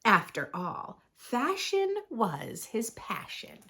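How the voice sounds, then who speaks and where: A woman narrates a children's picture book with very animated, swooping pitch. She takes her time, pausing for drama and stretching key phrases. Her voice has a soft, husky edge. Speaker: children's storybook reader, host. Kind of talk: expressive read-aloud narration for preschoolers.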